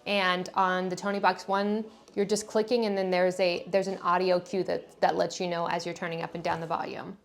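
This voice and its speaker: A woman's voice: on the low side, level tone, normal speed, creaky, few pauses. A woman giving a casual, conversational product review as a parent talking to viewers. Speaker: parent reviewing kids' toys.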